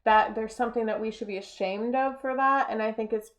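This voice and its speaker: A woman's voice: a little high, fairly even intonation, a little fast. A woman in casual conversation, speaking candidly and with strong opinions in informal language, on a slightly muffled recording. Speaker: seamstress and art model.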